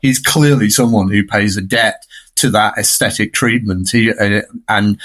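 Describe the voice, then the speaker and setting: A man's voice: fairly low pitch, fairly even intonation, a little slow, a bit bright. A man giving conversational film analysis in a discursive, emphatic way, often checking for agreement.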